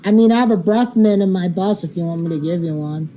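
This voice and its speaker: A woman's voice: quick pace, warm tone. Woman joking over noisy game chat. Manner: teasing, mildly sweary banter. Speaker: player in a group bus-driving game. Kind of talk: casual joking gaming voice chat. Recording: clearly noisy voice chat, vehicle rumble.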